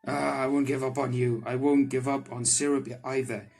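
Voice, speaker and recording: A man's voice: medium pitch, flat delivery, moderate pace, gravelly, darkish tone. A man voicing a flustered, kind-hearted young male magician from a game, hesitant and apologetic, with music behind. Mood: dejected, then determined. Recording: background music under the voice.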